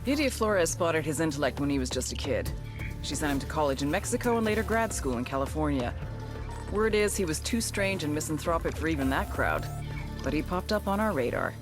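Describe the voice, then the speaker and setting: An actress playing a tough, sardonic intelligence agent briefing operatives in a game, wry and punchy, with cynical bite. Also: female voice, deep voice, expressive, fairly quick. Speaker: mission briefer. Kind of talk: scripted game cutscene narration. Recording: music bed under the voice.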